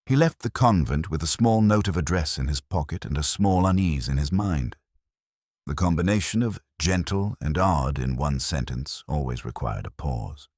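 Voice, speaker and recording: A man narrates a detective story from a script in an extremely low voice. His tone is husky, the intonation moves in lively sweeps, and the sound is close and dry. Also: normal speed, dry recording. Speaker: audiobook narrator.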